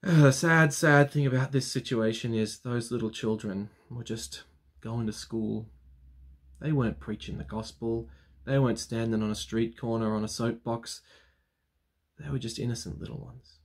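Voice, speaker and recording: A man's voice: mid-range voice, steady tone. A man in his thirties, a clergyman, talking to viewers on his weekly vlog and leading a solemn spoken prayer from his study. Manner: earnest, solemn, measured prayer cadence. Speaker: Anglican clergyman. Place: study with bookshelves, indoors. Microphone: webcam framing at desk.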